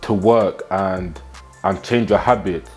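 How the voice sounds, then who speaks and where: A nineteen-year-old man speaks in a fairly low voice, giving a motivational talk to camera. He is blunt and slangy and drives his points home by repeating them. He is recorded on a small clip-on mic in a small room at home, with faint music underneath. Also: slow, darkish tone. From England. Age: teens. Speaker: fitness and self-improvement vlogger.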